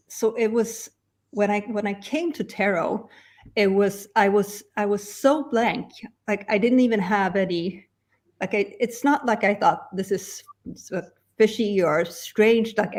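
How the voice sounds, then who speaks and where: Woman chatting with halting restarts. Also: mid-range voice, darkish tone. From Sweden. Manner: halting, many restarts and little laughs. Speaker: guest. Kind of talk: casual interview chat.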